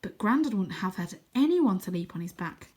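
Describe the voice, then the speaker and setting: A woman's voice: mid-range voice, lively intonation, normal speed, darkish tone, breathy edge. Woman reading a children's story expressively. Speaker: storybook reader. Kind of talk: expressive children's audiobook narration.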